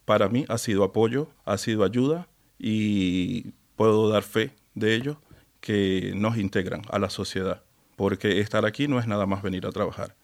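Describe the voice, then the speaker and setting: A man from Venezuela tells his own story in a measured, sincere way. His voice sits somewhat low, and he speaks plainly as a panel guest. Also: steady tone. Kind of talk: telling his personal story.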